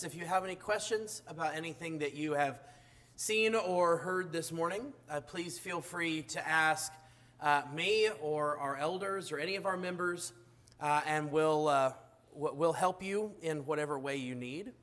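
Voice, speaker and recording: A hoarse man delivers a church sermon in a high-pitched voice. He keeps stumbling into fillers and restarts as he speaks. His voice sounds rough and strained. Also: fairly bright tone. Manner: halting, frequent uh fillers and restarts. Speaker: preacher.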